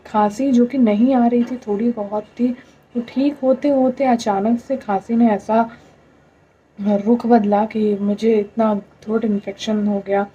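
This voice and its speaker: A young Indian woman vlogging to camera, hoarse from a throat infection, speaking in a tired, plaintive way and mixing in English words. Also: on the high side, fairly even intonation, a little slow, mellow, dull audio. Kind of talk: casual talk to camera.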